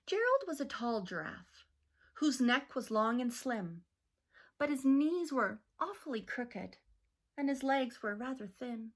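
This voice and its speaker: A woman teacher reading a rhyming picture book aloud to children, slow and expressive. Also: sits high.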